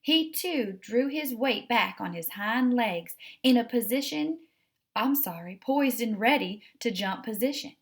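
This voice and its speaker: Female storybook narrator for kids, slightly high voice, expressive, talks straight to the listener. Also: unhurried. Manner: expressive, addresses young listeners directly. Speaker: read-aloud host.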